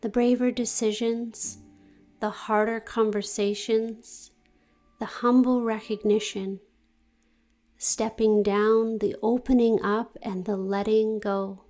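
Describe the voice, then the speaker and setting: A woman guiding a meditation in a gentle, deliberate, soothing manner with long pauses. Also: on the high side, flat delivery. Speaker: yoga nidra meditation guide. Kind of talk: guided meditation, scripted narration.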